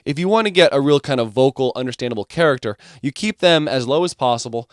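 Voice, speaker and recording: A man teaching music production software, explaining each step in an informal way. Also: medium pitch, expressive, fast, no echo, studio quality.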